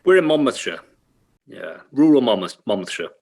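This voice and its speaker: A man's voice: medium pitch, big pitch swings, moderate pace, creaky, darkish tone, breathy edge. Welsh guy, light accent, middle-aged, chatty and self-deprecating, laughs a lot. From Wales. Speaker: actor, guest. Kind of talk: casual interview chat. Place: bedroom at home.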